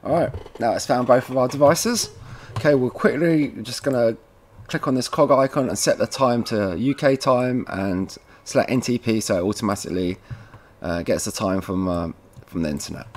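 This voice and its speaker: A man with a mid-pitched voice walks viewers through software one step at a time. His delivery is casual and informal, like an installer showing the guys how it is done. He speaks as a voice-over from his computer. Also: normal speed. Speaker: tutorial presenter, installer.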